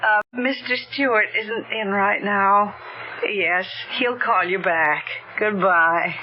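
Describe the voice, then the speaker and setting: A woman's voice: medium pitch, takes it slowly, natural voice. An actress playing an anxious but steely married woman, earnest and dramatic, in an old-time radio drama. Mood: gentle, wifely. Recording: dull, noisy old radio recording.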